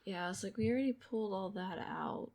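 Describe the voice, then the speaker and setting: A woman's voice: medium pitch, brisk, a little mellow. A woman giving casual gameplay commentary, thinking aloud as she goes. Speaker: video game streamer. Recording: game music behind the voice.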